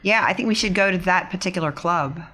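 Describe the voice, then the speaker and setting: A man's voice: sits high, fast talker, bright, forceful tone. A man playing a tabletop game, talking casually and agreeably with the group.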